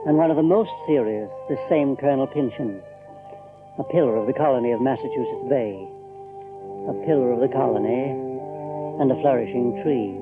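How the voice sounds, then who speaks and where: A man playing a brooding New Englander who narrates his grim ancestry in a deliberate, ominous dramatic style. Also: medium pitch, close to an everyday voice. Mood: ominous, wry. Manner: deliberate, repeating phrases, ominous. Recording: slightly muffled, music underneath.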